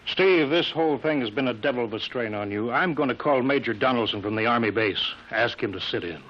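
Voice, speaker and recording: An actor in an old-time radio drama playing a brusque, impatient middle-aged boss of a rocket test field who barks clipped orders. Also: male voice, medium pitch, brisk, lightly acted. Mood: skeptical. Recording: muffled old radio broadcast recording.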